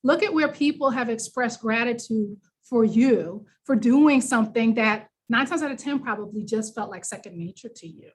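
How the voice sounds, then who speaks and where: A woman with a New York accent coaches a workshop. Her voice sits fairly high and has a slightly husky edge. She explains things conversationally and encouragingly, stressing the words that matter. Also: moderate pace, few pauses. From New York, United States. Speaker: workshop presenter, business coach.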